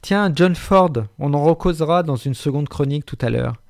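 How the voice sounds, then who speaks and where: A man's voice: on the high side, normal speed, gravelly, darkish tone, no echo, studio quality. A man presenting a radio book column in a wry, articulate way, with frequent hesitations. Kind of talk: radio literary chronicle, partly read.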